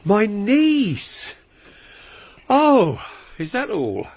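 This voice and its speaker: A nervous, stammering householder. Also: male voice, sits high, wildly swooping pitch, very slow, darkish tone, slight background noise, full character voice. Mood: jittery. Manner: hesitant, stammering. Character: nervous, anxious male householder. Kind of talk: radio drama acting.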